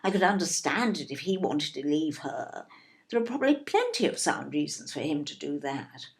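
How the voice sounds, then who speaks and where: A woman's voice: low-pitched, big pitch swings, normal speed. A woman acting in an audio play as an indignant, snobbish older English mother, fussy and emphatic, with an English accent. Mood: indignant blaming. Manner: emphatic, repetitive, tag questions.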